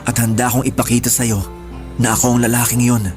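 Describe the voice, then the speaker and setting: A man's voice: mid-range voice, completely flat delivery, fast, a little mellow, close to an everyday voice. Actor as earnest, devoted young male suitor. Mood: ardent, determined. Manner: earnest, pleading, sincere. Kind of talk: radio romance drama acting. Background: background music.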